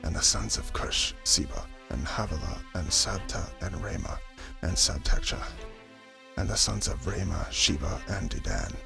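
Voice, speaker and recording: A man with a very low, gravelly voice narrates a dramatized Bible reading in ASMR style. He goes haltingly through difficult names. Music plays clearly behind him. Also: animated. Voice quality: low, creaky voice.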